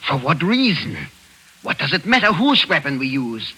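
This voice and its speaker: A ruthless German general with a heavy German accent, cold and insistent. His voice is slightly husky and bright, rising and falling with menace. It comes through as an old radio broadcast. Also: on the high side, very animated, normal speed, heavily acted. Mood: menacing. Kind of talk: 1940s radio acting.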